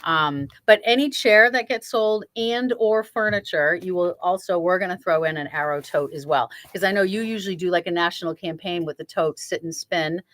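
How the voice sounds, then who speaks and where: A woman's voice: on the low side, moderate pace, hardly any pauses, fairly bright tone. A woman hosting a casual chat in a sewing shop, eager and chatty, often repeating words as she starts a sentence.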